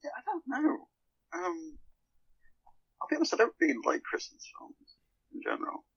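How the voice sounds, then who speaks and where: Gravelly, husky man in casual chat. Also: very high-pitched, wildly swooping pitch, moderate pace, a bit bright, dry recording, dull audio. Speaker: podcast guest, author.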